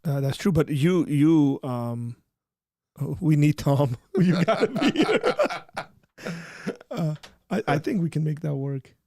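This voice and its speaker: A man hosting a casual livestream, chatting loosely and often trailing off into a quiet mumble. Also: on the high side, slow pace, no echo. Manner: relaxed, rambling, trailing off quietly. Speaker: livestream host.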